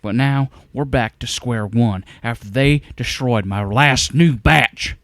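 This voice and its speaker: An actor playing a brash, defensive genius scientist in an audio drama, talking bluntly and indignantly. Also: male voice, mid-range voice, slow pace, fairly bright tone, dry recording.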